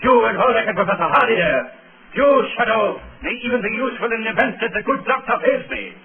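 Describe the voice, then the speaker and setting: Villain role: imperious, threatening espionage chief, raised pitch, clamor behind him, vintage radio melodrama. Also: male voice, lively intonation, quick pace, a crowd in the background. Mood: commanding. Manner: commanding, sneering, menacing. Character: arrogant, threatening male spy ringleader villain. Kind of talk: 1930s radio acting. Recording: tinny, band-limited 1930s radio recording with background clamor.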